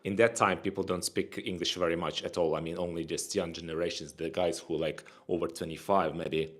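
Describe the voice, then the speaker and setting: A man's voice: low-pitched, little pitch movement, fairly quick. A man from Ukraine with a Ukrainian accent, co-hosting a casual livestream chat, rambling and repeating words as he searches for phrasing. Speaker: co-host.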